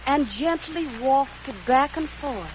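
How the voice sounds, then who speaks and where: An actress playing a spunky, chatty young typist out of work, brisk and eager, in 1940s radio acting. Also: female voice, sits high. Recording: dull old radio recording, hiss.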